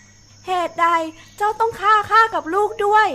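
A woman's voice: very high-pitched, moderate pace, bright, forceful tone, pitched above the performer's own voice. A woman voicing a dying mother lioness in a storybook tale, sorrowful, reproachful and pleading. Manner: pleading, questioning. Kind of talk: storybook character voice.

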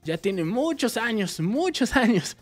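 A Mexican man in his thirties with a Mexican Spanish accent, hosting a casual Q&A vlog for his gaming channel, chatty and animated. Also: high-pitched, measured pace, faint music behind. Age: 30s. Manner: chatty, animated, waves arms while talking. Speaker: YouTube gaming channel host. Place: small indoor room with game shelves.

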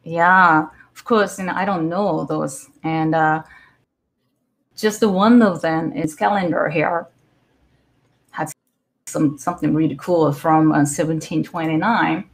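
A middle-aged woman with a Japanese accent talks casually over a video call from home, hedging and correcting herself with plenty of "so, yeah". Her voice sits fairly low and has a gravelly, fry-tinged edge. Her first language is Japanese. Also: steady tone, slow. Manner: chatty, lots of fillers and self-corrections. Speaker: guest developer speaker. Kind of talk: casual live-stream tech talk. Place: home room, indoors. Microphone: video call webcam.

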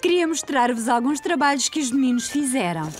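A woman's voice: high voice, animated, fairly quick, faint music behind. A woman playing a welcoming preschool teacher with a European Portuguese accent in a children's cartoon dub.

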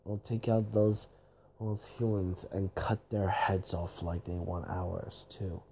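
Slow-talking man with a very dark voice. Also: low-pitched, level tone, creaky, slight background noise. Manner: halting, self-correcting. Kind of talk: improvised rambling monologue.

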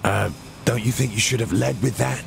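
An actor playing a tough, cocky, take-charge gang boss with a gravelly voice in a video game. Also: male voice, medium pitch, normal speed, music in the background. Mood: impatient.

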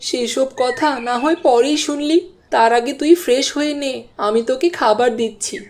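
Cartoon casting: a woman as a fussing, doting village mum, high voice, coaxing delivery, noisy background. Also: fairly even intonation, moderate pace, a little mellow, lightly acted. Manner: coaxing, motherly. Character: doting, fussing middle-aged village mother. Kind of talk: cartoon dialogue acting. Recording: clear background noise.

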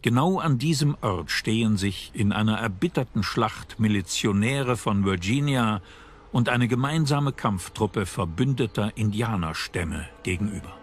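A man reading scripted documentary narration in a measured, dramatic storytelling manner. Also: lowish voice, lively intonation, a little hiss or hum. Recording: music bed behind voice. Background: background music.

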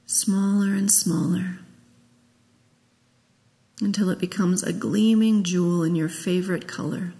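A woman minister leading a guided meditation, speaking gently and in a measured way with long pauses. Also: lowish voice, fairly even intonation. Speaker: minister leading a memorial service. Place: large church sanctuary, at a pulpit. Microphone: gooseneck pulpit microphone. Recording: long echo of a church hall.

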